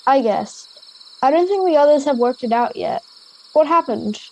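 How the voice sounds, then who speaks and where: A woman's voice: high-pitched, expressive, normal speed, rough recording. A girl of ten or eleven playing a brave, protective boarding-school pupil in an amateur radio play, firm and earnest. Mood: concerned, resolute. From Australia. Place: at home over a video call.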